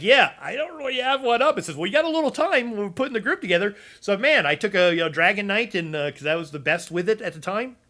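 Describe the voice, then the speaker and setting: A man's voice: high-pitched, pushed and bright. A man chatting casually about game builds, rambling with many fillers and restarts, and losing his voice. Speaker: gaming content creator.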